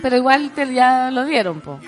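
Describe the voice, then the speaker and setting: A woman's voice: sits high, sing-song, moderate pace. A Chilean woman co-hosting a casual morning radio show, chatty and reading out listener messages. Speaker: radio co-host. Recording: music playing in the background.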